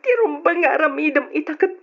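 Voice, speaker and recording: A woman's voice: high-pitched, expressive, fairly quick. A woman playing a heartbroken pregnant young woman in an old Ilocano radio drama, with an Ilocano accent and an emotional delivery. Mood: shocked, furious. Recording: dull lo-fi old radio recording.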